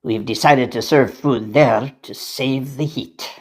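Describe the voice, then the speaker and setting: A man's voice: mid-range voice, slow. A man acting an officious but polite Victorian train conductor in an audio drama.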